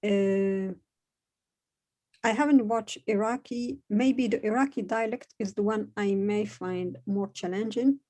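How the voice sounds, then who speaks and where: A Moroccan woman, a native Moroccan Arabic speaker, talks in English with a soft, warm tone and a mid-range pitch. She pauses often and fills gaps with "uh" as she searches for her words. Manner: hesitant, many pauses and "uh" fillers. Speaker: interviewee. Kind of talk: conversational interview.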